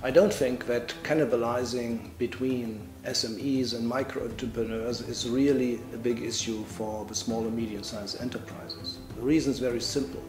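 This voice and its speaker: A man's voice: medium pitch, steady tone, normal speed, few pauses. A man giving an explanatory interview statement as a representative of a small business. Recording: echoey room, music behind.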